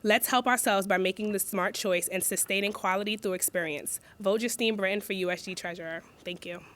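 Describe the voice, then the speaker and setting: A woman's voice: mid-range voice, brisk, a bit bright. Earnest young woman reading a speech. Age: young adult. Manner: earnest, restarts when she slips. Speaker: student election candidate. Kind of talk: read campaign speech.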